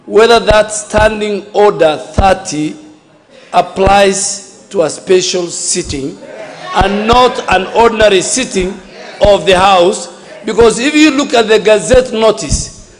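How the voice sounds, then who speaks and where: A middle-aged man, a member of parliament raising a point of order at a desk microphone, deliberate and then loud and insistent. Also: sits high. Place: large parliament chamber. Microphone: desk microphone, standing. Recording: long hall reverb.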